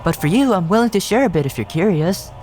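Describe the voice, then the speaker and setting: A man's voice: sits high, animated, fast, darkish tone, voice pushed up. A man voicing a playful, chatty little guardian spirit in a video game, breezy and teasing. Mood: playful, proud. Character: playful, chatty small lake-guardian spirit creature, cheeky. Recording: game music behind the voice.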